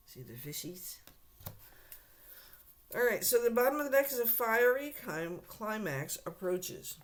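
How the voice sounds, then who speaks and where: A woman doing a casual tarot reading to camera, hoarse and losing her voice, clearing her throat often and speaking to the viewer bluntly and directly. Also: mid-range voice. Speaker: tarot reader.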